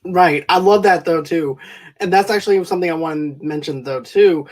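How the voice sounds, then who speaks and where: A man's voice: fairly high pitch, moderate pace. A man hosting a casual movie review podcast, rambling and correcting himself as he goes. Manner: rambling, self-correcting, chuckling. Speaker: podcast host.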